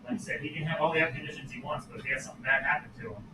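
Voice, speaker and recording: A man at a tabletop game talks quickly in a dry, matter-of-fact way. He sits away from the mic, so his voice sounds distant. The room is echoey, and clear background noise runs under him. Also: a little high, flat delivery. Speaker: player. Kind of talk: casual tabletop game chat.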